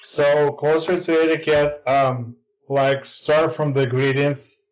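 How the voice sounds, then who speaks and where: A young man from Ukraine with a Ukrainian accent, a podcast guest chatting casually and pausing often with ums and uhs. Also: fairly high pitch, level tone. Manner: hesitant, many ums and uhs. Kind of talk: casual interview chat. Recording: dull lo-fi audio.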